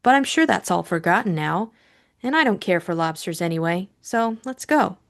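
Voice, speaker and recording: A woman voicing a headstrong young upper-class lady in an audiobook, brisk, teasing and probing. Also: on the low side, expressive, vocal fry. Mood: brisk, placating. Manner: adamant, probing. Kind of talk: audiobook character acting.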